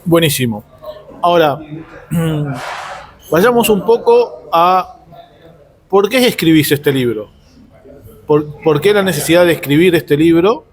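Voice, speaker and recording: A man with an Argentine accent asking informal interview questions, haltingly and with rephrasing. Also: fairly high pitch, animated, low-quality recording.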